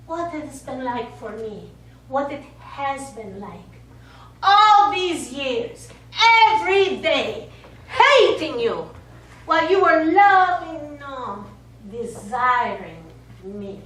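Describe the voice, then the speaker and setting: Woman acting a bitter, high-voiced war survivor, very echoey. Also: fairly bright tone, exaggerated voice. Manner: anguished, slow, resentful. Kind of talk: stage play acting. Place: theatre studio stage. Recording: very echoey stage, distant mic.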